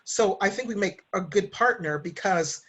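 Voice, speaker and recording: A woman's voice: mid-range voice, moderate pace. A woman talking casually as a podcast guest, chatty and thinking aloud as she goes. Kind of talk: casual interview chat.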